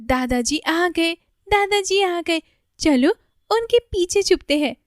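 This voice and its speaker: Woman voicing a squeaky, excitable tree boy. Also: takes it slowly, no room sound, clean studio sound, full character voice. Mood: frightened, pleading. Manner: pleading, exclaiming. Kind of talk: children's cartoon dialogue.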